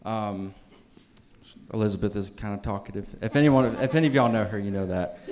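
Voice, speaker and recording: Laid-back young man, lowish voice. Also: fairly quick. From West Virginia, US. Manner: laid-back, soft-spoken, laughs easily. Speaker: panel guest. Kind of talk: casual chat.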